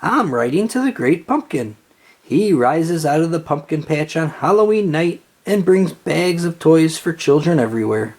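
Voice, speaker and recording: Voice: medium pitch, big pitch swings, normal speed, a little mellow, slightly rough, voice pushed up. A storybook reader playing an earnest young boy who explains things with devotion. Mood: hopeful.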